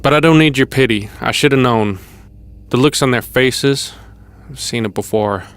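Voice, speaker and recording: An actor playing a wary older male survivor in an audio drama, gruff and folksy. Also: medium pitch, normal speed, fairly bright tone, no room sound, natural voice. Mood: grim. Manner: folksy, plain-spoken. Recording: clear background noise.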